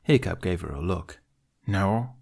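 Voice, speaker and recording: A man's voice: fairly low pitch, expressive, normal speed, darkish tone. A man reading scripted fan fiction aloud as an amateur story narrator.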